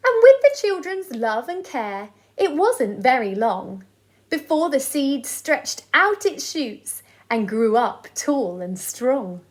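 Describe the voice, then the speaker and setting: A woman reading aloud to children as a storyteller, in an expressive style. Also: high voice. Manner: deliberate, sing-song delivery of rhyming verse.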